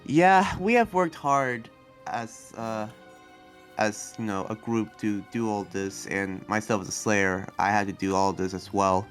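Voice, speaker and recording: A man playing an earnest, hesitant demon slayer and researcher in an improvised Minecraft anime roleplay, speaking haltingly and trailing off. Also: on the low side, fairly bright tone, soft background music. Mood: earnest, explaining.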